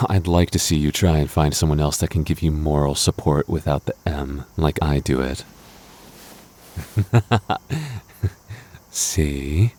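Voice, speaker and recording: A man playing a clingy, jealous young boyfriend in an intimate roleplay audio, talking casually and haltingly, prone to stammering when flustered. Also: rumbling low, warm tone. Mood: teasing, smug.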